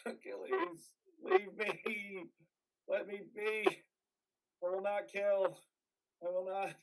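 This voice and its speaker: A haunted male soldier back from war, as an amateur actor plays him. His voice sits very high for a man. He speaks in halting, distressed phrases, as in a live play reading. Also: rough recording. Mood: tormented, pleading. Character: haunted, groggy male army veteran with nightmares.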